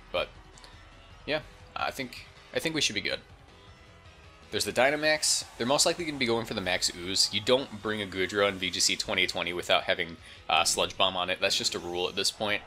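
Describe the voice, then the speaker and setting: Bright-voiced, slightly congested man commentating a game. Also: fairly low pitch. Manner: informal, thinking aloud. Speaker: gaming video host. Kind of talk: casual gameplay commentary.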